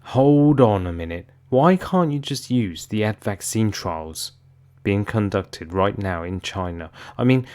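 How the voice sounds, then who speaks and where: A man's voice: lowish voice, darkish tone. A man hosting an investor commentary, speaking emphatically and with exasperation, often asking rhetorical questions straight to his viewers. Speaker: host of an investor commentary channel.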